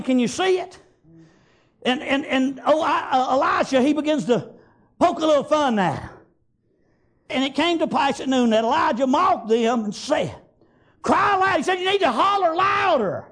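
A man with a Southern US accent preaching a fervent, folksy sermon off the cuff, exclaiming as he retells scripture. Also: extremely high pitch. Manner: folksy, exclamatory, repeats praise God and amen as punctuation. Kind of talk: fervent extemporaneous sermon with Bible reading and retelling.

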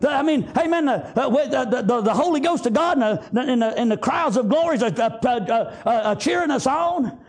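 A man with a Southern US accent preaching a fervent revivalist sermon, exhorting loudly and peppering it with praise interjections. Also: very high-pitched, lively intonation, brisk, few pauses.

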